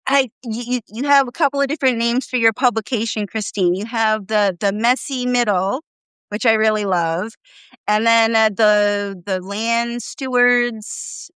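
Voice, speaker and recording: A woman farmer hosts a casual live video interview with a smooth, clear voice. She speaks in a friendly way and fills her sentences with many small hesitations. Her audio is dry and close. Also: fairly high pitch, fairly even intonation, unhurried, fairly bright tone, no echo. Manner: friendly, lots of fillers like "um" and "like". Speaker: host, farmer. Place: home, live video call. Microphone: video call microphone.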